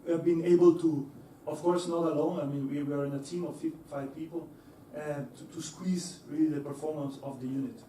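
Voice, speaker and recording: A man's voice: fairly high pitch, fairly even intonation, fairly quick, hardly any pauses, mellow, slight background noise. A young man presenting to an audience in a lecture hall, with many fillers and restarts. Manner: hesitant, many fillers and restarts, laughs easily. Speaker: engineer giving a talk. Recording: echoey hall, voice from far off.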